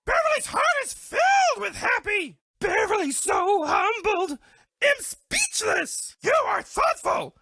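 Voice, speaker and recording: A woman's voice: very high voice, sing-song, takes it slowly, gravelly, bright, forceful tone, no room sound, full character voice. A woman voicing a sweet, dramatic, lovestruck female bear in a cartoon dating game, speaking broken English in a gushing way. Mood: playful, gushing.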